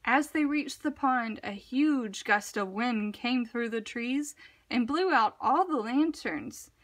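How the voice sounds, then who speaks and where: A woman reading a children's picture book aloud in an expressive, deliberate, dramatic narration style. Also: a little high. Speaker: storybook reader.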